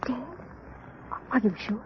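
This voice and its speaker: A woman's voice: a little slow, lightly acted. An actress playing an anxious, loyal young lady helping a sleuth in an old-time radio crime drama, earnest and dramatic. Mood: horrified. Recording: muffled, noisy old radio recording.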